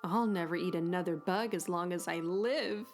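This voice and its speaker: A woman voicing an excitable, caring young female baby bat with a squeaky, eager delivery for a children's storybook. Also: moderate pace, soft background music, lightly acted. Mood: excited.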